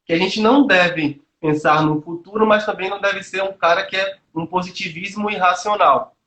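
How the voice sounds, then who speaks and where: A man's voice: high voice, steady tone, normal speed, pushed and bright. A young man from Salvador, Brazil, a nutrition student explaining his views earnestly on a video call, thinking aloud with frequent restarts and fillers. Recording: roomy echoey call audio.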